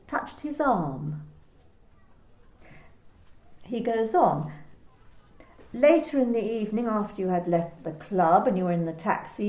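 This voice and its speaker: An amateur actress playing a formal, officious female workplace manager in a radio play, measured and precise. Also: fairly high pitch, darkish tone, echoey room, rough recording.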